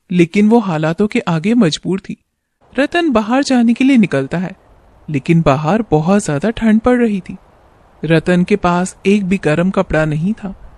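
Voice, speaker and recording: A female narrator reads a scripted moral tale. Her voice is medium in pitch. The recording is dry, with no audible echo. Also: darkish tone.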